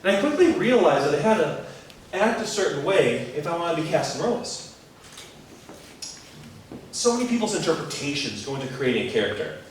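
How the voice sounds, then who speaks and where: A man's voice: a little high, sing-song, brisk, pauses often, slight background noise. A man performing a reflective spoken monologue in an earnest storytelling manner. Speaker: performer and music educator. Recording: echoey room, mic far off, faint music.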